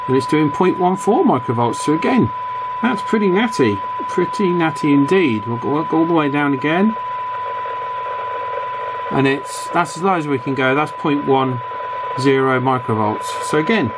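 A man's voice: on the high side, expressive, moderate pace. A man with a British accent giving casual commentary on a bench test. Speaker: radio reviewer. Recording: loud hum and radio noise.

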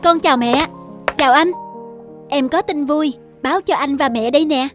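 A devoted young wife in a cartoon drama, acted by a woman. Her voice is high, with an earnest, polite and hopeful delivery. Music plays underneath. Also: normal speed, close to an everyday voice. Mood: cheerful, earnest. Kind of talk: animated moral drama voice acting.

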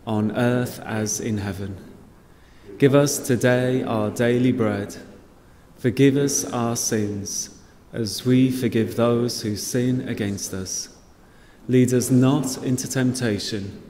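A man in his thirties leading prayer and dismissing the congregation from a stand mic in a church hall, relaxed and informal. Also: medium pitch. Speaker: church leader. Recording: long hall reverb, faint noise.

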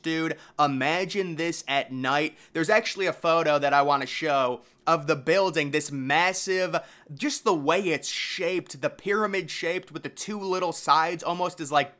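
Bright-voiced man narrating casually. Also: a little high. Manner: informal, slangy asides. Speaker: video host and narrator. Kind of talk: documentary-style narration with casual commentary.

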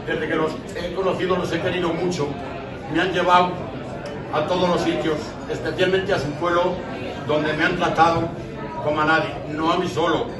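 A man's voice: a little high, recorded from a distance. Older Spanish man, emotional, in an echoey room. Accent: Spain. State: emotional, choked up. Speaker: speaker giving a tribute at a farewell dinner. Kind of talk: informal heartfelt tribute speech. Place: busy restaurant dining room, indoors. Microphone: distant camera across the room. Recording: echoey room from afar, loud crowd.

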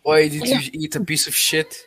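A man playing a petty, foul-mouthed dark king who taunts crudely in an improvised comedy fandub. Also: on the high side, monotone, normal speed, slight background noise, close to an everyday voice. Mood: taunting. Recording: voice over background music.

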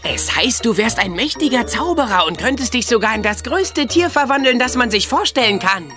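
A woman's voice: on the high side, expressive, normal speed, over music, lightly acted. A woman playing a small, eager tomcat in an audio drama, courteous, earnest and a little grandiose. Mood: cunning flattery.

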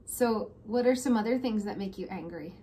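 A woman's voice: medium pitch, moderate pace, dark tone. A woman in her thirties hosting an interactive read-aloud, explaining simply and asking a child leading questions. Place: small room at home, indoors. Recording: clear background noise, home camera mic.

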